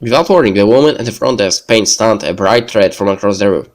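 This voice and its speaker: A man's voice: lowish voice, a little fast. A man reading visual novel narration aloud as a let's player. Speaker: video game let's player.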